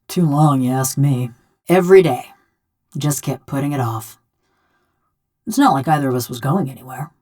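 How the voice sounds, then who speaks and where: A woman's voice: very deep voice, lively intonation, moderate pace, pauses often, dark tone. An actress playing a wry, blunt, vengeful woman killer whose soul lives in a bronze body, speaking in a folksy rustic drawl for a video game. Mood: dry, sardonic. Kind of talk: video game character dialogue.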